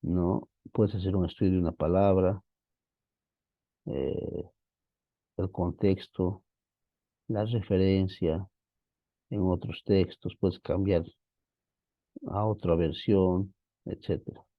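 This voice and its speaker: A man teaching an online class, walking through study software on screen while thinking aloud, often tagging his sentences with a questioning "right?". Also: deep voice, fairly even intonation, takes it slowly, pauses often, dry recording, narrow, tinny audio. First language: Spanish. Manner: casual, thinking aloud, frequent "¿no?" tags. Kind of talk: screen-share software walkthrough.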